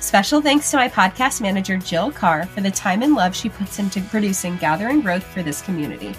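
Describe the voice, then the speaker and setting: A woman's voice: medium pitch, animated, fairly quick, low-quality recording. A young woman hosting a podcast, affirming and earnest as she thanks her guest and listeners. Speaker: podcast host. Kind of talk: podcast interview and outro. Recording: faint music behind.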